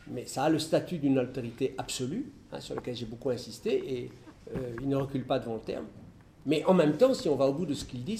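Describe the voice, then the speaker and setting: A man's voice: mid-range voice, warm tone, slight background noise. A middle-aged man giving a philosophy lecture and answering audience questions, thinking aloud with many restarts and fillers. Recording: echoey hall recording.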